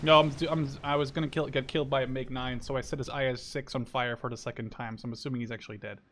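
A man's voice: mid-range voice, steady tone, fast, a bit bright. A man chatting casually and self-deprecatingly with a teammate while playing a video game. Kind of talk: casual gaming chat with a teammate.

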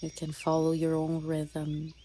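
A woman leading a guided meditation in a soothing, gentle manner, with soft breathy phrase endings and long pauses between short phrases. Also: deep voice, completely flat delivery. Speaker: yoga and mindfulness teacher leading a guided meditation. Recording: faint ambient noise with birdsong. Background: birdsong and nature ambience.

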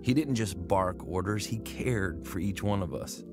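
A man's voice: low-pitched, measured pace. A man earnestly narrating his own life story in first person for a radio drama. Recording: music bed under the voice.

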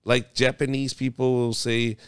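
A man's voice: mid-range voice, level tone, moderate pace, clear, resonant tone, no echo. A man hosting a casual podcast chat, loose and profane, calling his guest bro. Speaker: podcast host and comedian.

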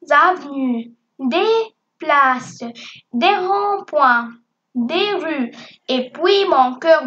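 Very slow, high-voiced woman reciting. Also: a bit bright. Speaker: poem reciter for primary school pupils. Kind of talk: slow poem recitation for children. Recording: dull, lo-fi sound.

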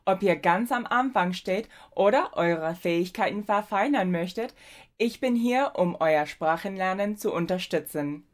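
A woman's voice: medium pitch, animated, unhurried. Young woman, careful tutor. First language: English. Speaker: language tutor. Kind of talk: scripted self-introduction to camera. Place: home room with bookshelves.

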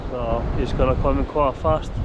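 A middle-aged man from Wales giving chatty, halting commentary outdoors by the sea, with many fillers. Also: medium pitch, fairly even intonation, dull audio. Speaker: fishing vlogger. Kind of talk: casual outdoor vlog commentary. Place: open beach by the sea at sunset, windy. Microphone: action camera on a tripod nearby. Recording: wind noise on an outdoor camera mic. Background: wind.

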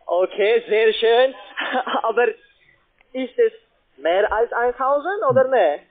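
A man with a foreign accent, not a native German speaker, making a halting, repetitive, insistent telephone sales pitch. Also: animated. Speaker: call-centre sales caller. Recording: muffled, narrow phone line.

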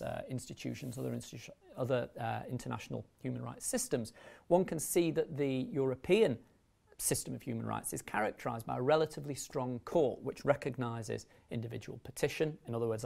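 Guy in his 30s doing a uni law lecture, measured and formal, lots of vocal fry. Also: medium pitch, a little mellow. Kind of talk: academic lecture, partly read from notes. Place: indoor lecture recording room, at a lectern. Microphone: lapel mic.

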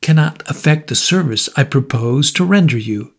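Storybook devilish gentleman, performed by a male narrator: unruffled, polite, formal, quietly firm. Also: fairly high pitch, lively intonation, normal speed, gravelly, slightly rough, full character voice. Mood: calm, knowing. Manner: pleasant, courteous, composed, formal.

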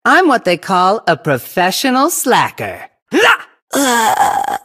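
A woman's voice: mid-range voice, sing-song, slow pace, a bit bright, slightly rough, heavily acted. A woman voicing a cute, lazy slacker fox from a video game, complaining wryly. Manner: lazy, wry, sighing complaints. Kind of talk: video game character voice lines.

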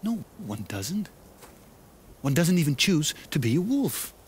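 An actor playing an eager, persuasive male salesman who coaxes and flatters in a theatrical way in an animated film. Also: a little high, measured pace, slight background noise.

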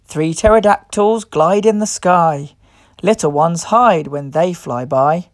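A woman reading a picture book aloud to young children as host, expressive, playful and encouraging. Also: lowish voice, slow pace, no echo, studio quality. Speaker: children's storybook reader and host.

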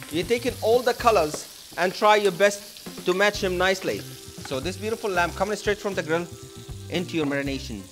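A man's voice: sits high, fairly bright tone. A man in his thirties hosting a cooking show in a studio kitchen, upbeat and playful as he talks straight to the camera on a lapel mic. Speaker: chef host. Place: home-style studio kitchen, indoors.

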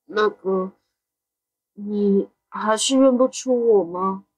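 A woman playing a gentle, anxious fourteen-year-old boy in an audio drama, hesitant, earnest and polite. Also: medium pitch, slow pace, rough recording. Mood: sad, pleading. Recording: background music under the voice.

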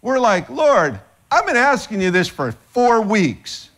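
Slow-talking middle-aged man preaching. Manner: animated, gesturing preacher, rises in pitch when excited. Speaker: pastor. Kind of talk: sermon. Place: church stage with band instruments.

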